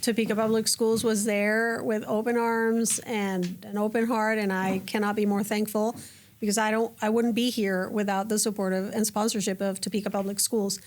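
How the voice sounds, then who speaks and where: Colombian-accented woman, lively interview guest. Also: mid-range voice, normal speed, slight background noise. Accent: Colombian Spanish. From Colombia (Cali). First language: Spanish. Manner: animated, restarts and acts out quoted voices. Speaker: guest, educator. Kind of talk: casual interview chat.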